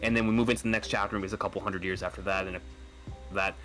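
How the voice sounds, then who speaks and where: A man's voice: sits low, steady tone, racing, fairly bright tone, faint music behind. A man in a casual podcast chat, laying out his ideas eagerly and emphatically.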